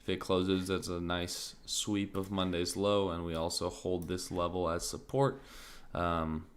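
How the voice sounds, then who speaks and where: A man with a deep, low voice hosts a casual trading stream, thinking out loud with plenty of fillers. He speaks in a slow, easygoing rhythm. His voice carries a slight rasp, and he clears his throat now and then. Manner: relaxed, thinking aloud, many fillers like "like" and "um". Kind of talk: casual live-stream market commentary.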